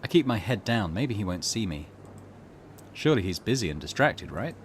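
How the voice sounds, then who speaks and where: Low-voiced, lively man hosting a let's play. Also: moderate pace, slight background noise. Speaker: gaming channel host. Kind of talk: let's play commentary and reading game text aloud.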